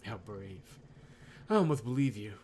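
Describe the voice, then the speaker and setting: A man's voice: lowish voice, expressive, measured pace, a little mellow. A man voicing a bitter, taunting, injured man in a visual novel, sardonic and dismissive. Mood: mocking. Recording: clear background noise.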